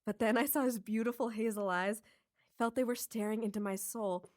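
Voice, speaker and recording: A woman's voice: fairly high pitch, steady tone, normal speed, a little mellow, close to an everyday voice. A woman voicing a dreamy, chatty young bank teller. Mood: gushing. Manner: gushing, chatty.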